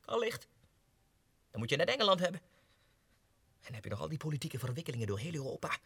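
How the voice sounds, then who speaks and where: An actor playing a deluded, self-important low-ranking Russian clerk going mad, in a theatrical radio drama monologue that swings between muttering and agitated outbursts. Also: male voice, sing-song, quick pace, vocal fry, a bit bright, husky, no echo, heavily acted. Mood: indignant, agitated. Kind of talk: 1960s radio drama monologue, diary entries. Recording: dry 1960s radio studio recording.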